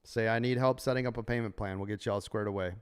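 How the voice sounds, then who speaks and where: A man's voice: steady tone, fast talker, smooth. A man coaching stock traders on a live stream, upbeat and salesy while losing his voice, talking from a sunny room full of windows. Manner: upbeat, sales-pitch delivery. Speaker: trading coach and host. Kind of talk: live stream chat with members.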